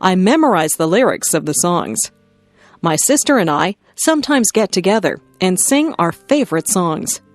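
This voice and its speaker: A woman narrating simple scripted stories for language learners, reading in careful, clearly separated phrases. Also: fairly low pitch, very animated, moderate pace, no echo, soft background music. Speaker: narrator of an English listening lesson. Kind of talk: scripted narration, read-aloud beginner stories.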